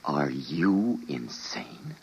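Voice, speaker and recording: An actor playing an earnest, principled doctor with a dramatic delivery in an old-time radio drama. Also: male voice, extremely low pitch, very animated, drawn-out words, creaky, a little mellow, husky, exaggerated voice. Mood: shocked, indignant. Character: earnest, principled male doctor, troubled and indignant. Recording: muffled vintage radio drama recording.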